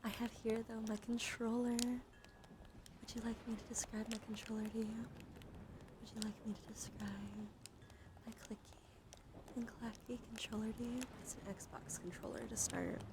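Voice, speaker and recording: Softly whispering woman doing ASMR. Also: on the high side, fairly even intonation, poor audio. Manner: whispering and soft-spoken, deliberate, repeats phrases gently. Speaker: livestream ASMR host. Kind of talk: ASMR, slow soft talk to live chat. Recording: clearly audible background noise.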